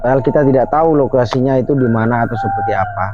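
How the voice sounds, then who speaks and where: A man's voice: mid-range voice, racing. A man hosting a night outing, earnestly telling a true story with emphatic, filler-heavy delivery outdoors at night. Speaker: host. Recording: lo-fi with faint music.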